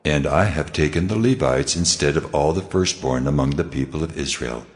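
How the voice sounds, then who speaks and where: A man's voice: very deep voice, normal speed. A man playing God in a dramatized scripture reading, solemn and authoritative, giving commands. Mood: solemn, commanding. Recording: long cavernous reverb. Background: faint music.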